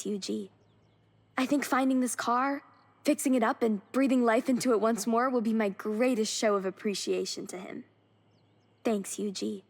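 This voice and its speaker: High-voiced, upbeat young woman. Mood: nostalgic, heartfelt. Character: May, young enthusiastic, warm-hearted woman car hunter. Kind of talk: video game cutscene voice acting. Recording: engine and ambient noise behind. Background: vehicle sounds and ambience.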